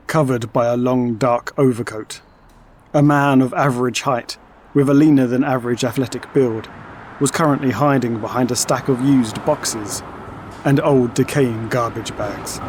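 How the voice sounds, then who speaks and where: A man giving scripted, dramatic narration for an audio story in a measured way. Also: medium pitch, steady tone, a little mellow, slight background noise. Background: music and sound effects.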